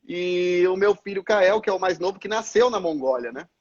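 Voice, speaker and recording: A man, a missionary pastor answering interview questions in a measured, explanatory way with frequent filler sounds. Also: high voice, expressive, dull audio, a loud crowd. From Brazil, Salvador. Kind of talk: live-stream interview answers.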